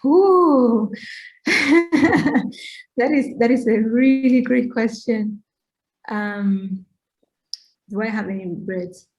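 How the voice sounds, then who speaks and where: A woman's voice: a little high, drawn-out words, pauses often, a little mellow, low-quality recording. Earnest middle-aged woman from Cameroon. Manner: earnest, reflective, emphatic with repeated "right?". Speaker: interviewee. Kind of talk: conversational Q&A talk, advice-giving.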